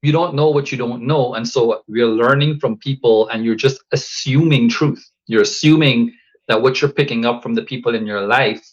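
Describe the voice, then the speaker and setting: Guy from guyana on a podcast, mid pitch, kinda muffled audio, thinks out loud with lots of ums. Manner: thoughtful, measured, with frequent fillers and restarts. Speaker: podcast guest, coach. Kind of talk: reflective interview talk.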